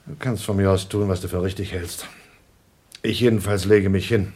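Radio drama astronaut, male actor: lowish pitch, composed, resigned, matter-of-fact stickler for regulations on a moon station. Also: steady tone, normal speed, a little mellow, lightly acted. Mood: calm, firm. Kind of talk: radio play acting.

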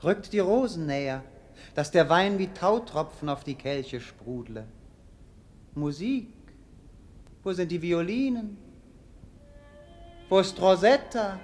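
An actor playing a languid, melancholy young prince in a radio play, declaiming poetically and commandingly. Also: male voice, high voice, lively intonation, takes it slowly, pauses often, darkish tone, faint music behind. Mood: languid, imperious. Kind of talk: theatrical German radio play acting.

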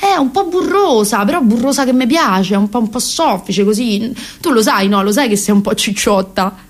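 A woman's voice: fairly high pitch, expressive, normal speed. A woman voicing an affectionate but tactless young boyfriend, coaxing and stretching out words in a sing-song way. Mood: coaxing, teasing.

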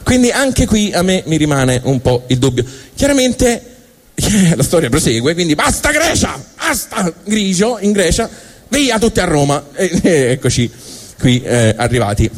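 A man from Tuscany with a Tuscan accent, performing a comic storytelling monologue in a halting, colloquial way, often interrupting himself. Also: medium pitch. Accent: Tuscan Italian. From Tuscany, Italy. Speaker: stand-up monologist.

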